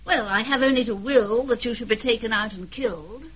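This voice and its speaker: An actress playing a coldly polite aristocratic villainess in a radio drama, commanding and courteous. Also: female voice, on the high side, brisk, breathy edge. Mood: icily polite. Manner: genteel, commanding, icily courteous. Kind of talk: 1970s radio drama acting. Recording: dull 1970s radio broadcast recording.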